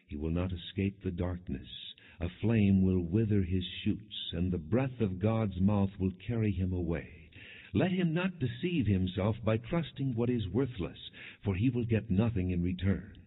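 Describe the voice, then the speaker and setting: A man narrating scripture as an audiobook in a solemn, measured, non-dramatized reading with deliberate phrasing. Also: low voice, expressive, breathy edge. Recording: muffled, narrow phone-like sound.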